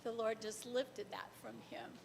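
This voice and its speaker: A middle-aged woman from the congregation telling a personal faith story to a church group as a lively storyteller. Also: moderate pace, gravelly. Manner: lively storyteller, laughs between lines. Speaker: congregation member sharing testimony. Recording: far off in a large echoing hall, faint rumble.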